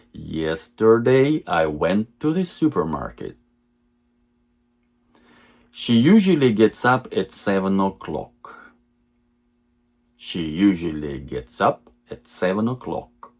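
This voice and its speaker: Deep slow-talking man teaching English. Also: sing-song, a little mellow. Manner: measured, repeats each sentence for learners. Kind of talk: slow lesson reading example sentences twice.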